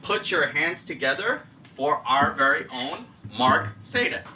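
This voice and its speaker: A man's voice: fairly high pitch, very animated, measured pace. A man moderating a live panel event, introducing speakers in an upbeat, scripted way. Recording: distant mic, faint background noise.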